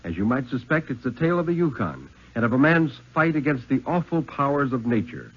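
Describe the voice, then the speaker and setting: An American man reads fiction aloud as a dramatic radio storyteller of the 1950s. His mid-pitched voice moves widely and expressively through solemn, deliberate phrases. The recording is dull and muffled. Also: very animated.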